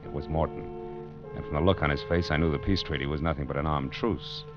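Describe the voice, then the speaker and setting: A man's voice: rumbling low, fairly even intonation, fast. An American man playing a wry, tough private detective in an old-time radio drama, sardonic and clipped in his delivery. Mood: wry narrating. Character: wry, tough, nosy male private detective, worldly and unflappable. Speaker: narrator and lead actor. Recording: dull vintage radio recording, music behind.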